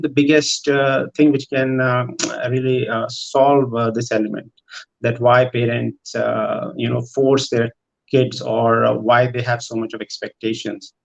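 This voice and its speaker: A man speaking as a panelist in a thoughtful discussion, in a hesitant, halting way. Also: medium pitch, creaky, muffled recording.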